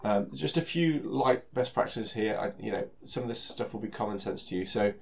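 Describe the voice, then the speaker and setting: Brief: British man with a cold, nasal and a bit low-pitched, easygoing tech presenter, plenty of "you know" and restarts. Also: fairly quick, gravelly. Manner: relaxed, many fillers and repeated words. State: has a cold, nasal and stuffy. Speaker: solution architect giving a technical talk. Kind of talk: conversational technical presentation.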